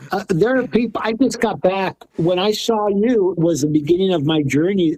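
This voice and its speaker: A middle-aged man from Los Angeles speaks in an interview, earnest and reflective, circling back over his words as he thinks. His voice sits a little high for a man. The sound is dry and close. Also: dark tone, dry recording, low-quality recording. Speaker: guest, drum maker and healer. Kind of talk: interview conversation.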